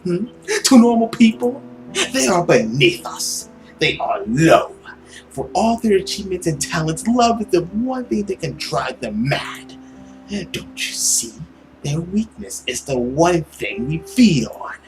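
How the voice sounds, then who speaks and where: Here is a gloating, centuries-old villain from a visual novel, acted out by a man. The voice swings widely and sits a little high, savoring words with arrogant, theatrical mischief. Music plays clearly behind it. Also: very animated, a little mellow, rough recording. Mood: grandiose, persuasive. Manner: theatrical, arrogant, drawn-out delivery with sudden emphasis.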